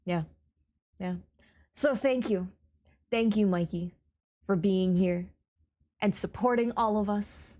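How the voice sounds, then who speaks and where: A woman's voice: mid-range voice, slow, long pauses, dark tone. A woman co-hosting a game stream, chatting casually with upbeat gushing and some swearing. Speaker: co-host on a stream.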